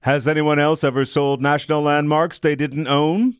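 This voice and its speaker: A man acting as the sidekick questioner, delivering scripted comic interjections in an incredulous, excitable way. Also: a little high, normal speed, clean tone, no echo, clean studio sound.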